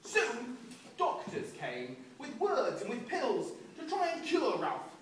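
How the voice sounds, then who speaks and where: A man, a children's author, reading a rhyming story aloud in an expressive, sing-song rhythm at a book event. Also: extremely high pitch, takes it slowly. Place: large echoing room at a book event. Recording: echoey hall, distant mic, noisy.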